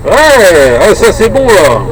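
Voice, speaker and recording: A man's voice: sits high, sing-song, slow pace, a crowd in the background. A man chatting casually on CB radio in short, hesitant bursts. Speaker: CB radio operator. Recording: noisy in-car recording, road noise.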